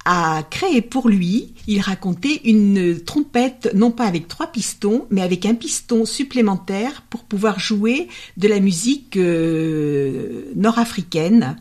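A woman's voice: medium pitch, expressive, slow pace, few pauses, darkish tone. A woman hosting a conversational interview, talking in a discursive, storytelling way as she leads into her questions. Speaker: interviewer, host.

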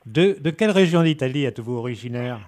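A man working as an interviewer, putting unscripted questions in a halting way and often rewording them as he goes. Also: mid-range voice, expressive, darkish tone.

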